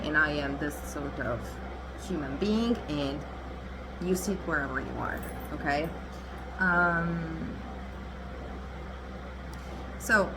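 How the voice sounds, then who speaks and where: A woman's voice: low-pitched, noisy background. A young adult woman whose first language is Italian, doing a chatty, assertive tarot reading and laughing often. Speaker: tarot reader.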